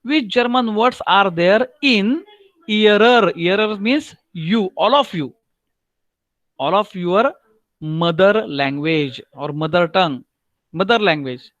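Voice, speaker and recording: A man with a Marathi-accented Indian English voice, teaching German in an online lecture, often repeating himself, asking "right?" and drawing out German words slowly. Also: high voice, lively intonation, fairly bright tone, no room sound. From Maharashtra, India.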